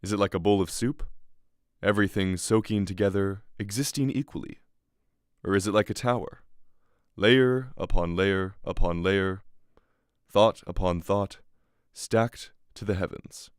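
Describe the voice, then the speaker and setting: A man playing a measured, philosophical narrator with a deliberate, rhetorical delivery in a radio play. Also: deep voice, clear, resonant tone, no echo, studio quality. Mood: measured, intriguing. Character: measured philosophical narrator guiding down through a mind. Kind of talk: scripted radio drama narration.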